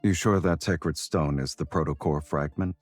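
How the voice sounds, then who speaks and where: An actor playing a nonchalant, teasing man in a romance game, speaking in an unhurried, suave way. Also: darkish tone, faint music behind. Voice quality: husky, very deep. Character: nonchalant, teasing man, protagonist's traveling companion.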